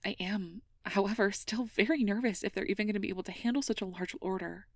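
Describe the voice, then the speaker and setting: A woman's voice: medium pitch, no room sound, lightly acted. Woman acting a hesitant, stressed young female mage, close and dry. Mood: anxious, weary. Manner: hesitant, halting, breathy half-whispered confiding with sighs. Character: stressed, self-blaming young female air mage confiding in partner. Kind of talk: ASMR role-play, intimate personal-attention acting.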